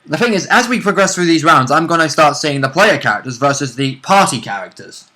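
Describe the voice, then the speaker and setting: A man's voice: fairly high pitch, lively intonation, fairly quick, bright, forceful tone. A man chatting casually as a player and co-host, with informal, joking banter. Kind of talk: casual gaming chat.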